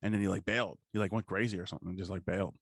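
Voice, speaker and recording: Low-voiced man, slangy podcast co-host. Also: fairly even intonation, racing, dry recording, clean studio sound. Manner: profane, slangy. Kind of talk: casual pop-culture chat.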